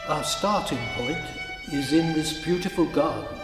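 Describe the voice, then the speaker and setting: Slow-speaking male narrator in an echoey hall. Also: on the high side. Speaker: narrator of a musical cantata. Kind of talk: scripted literary narration. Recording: echoey hall, music behind.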